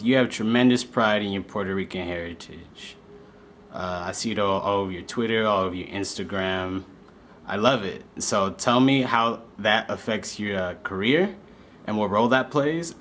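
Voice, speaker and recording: Friendly male interviewer with a slightly low voice, asking questions casually. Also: normal speed, a bit bright, slight background noise.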